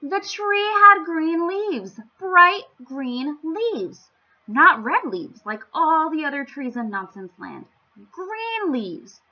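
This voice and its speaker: A woman reading a storybook aloud to young children, with theatrical, playful emphasis and an expressive storytelling style. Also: high voice, slow pace, lo-fi sound. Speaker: children's story-time reader.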